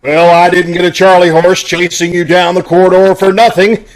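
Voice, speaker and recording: A man's voice: sits high, little pitch movement, moderate pace, faint music behind, natural voice, poor audio. A man playing a pompous, blustering, easily flustered school principal in an old-time radio sitcom, with a spluttering delivery. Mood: pompous, indignant. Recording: amateur video-call radio play recording.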